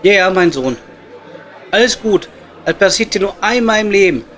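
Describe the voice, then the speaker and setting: Coal miner at the pub, played by a man, high voice, Ruhr German, foul-mouthed and grumbling, improvised comedy over light music. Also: animated, normal speed, a bit bright. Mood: joking. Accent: Ruhr area German. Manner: grumbling, coarse, colloquial. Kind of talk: improvised comedy audio play. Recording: music behind the voice.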